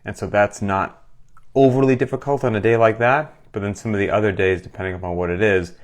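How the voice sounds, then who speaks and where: A man's voice: on the low side, steady tone, fairly quick. A man with a cold, hosting a casual live question-and-answer session as a fitness coach, talking with enthusiasm. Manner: enthusiastic, conversational, reads questions aloud. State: getting over a cold, sucking a cough drop. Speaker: gym owner and coach hosting a Q&A. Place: recorded for a live stream.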